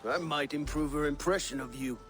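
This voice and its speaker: A man's voice: a little high, brisk, fairly bright tone, soft background music, close to an everyday voice. A man playing a dry, needling Viking warrior in a video game, terse and wry. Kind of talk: dramatic video game acting.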